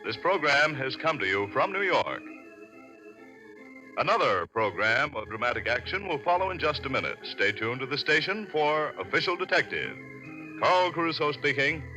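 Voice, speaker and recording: Male 1940s radio announcer: medium pitch, very animated scripted delivery. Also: normal speed, music in the background, low-quality recording.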